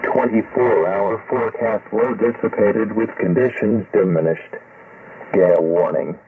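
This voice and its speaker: A synthesized male voice reading a marine weather forecast in a measured, methodical way, received over shortwave radio. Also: fairly low pitch, steady tone, husky, dry recording, recorded from a distance. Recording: noisy tinny shortwave radio reception.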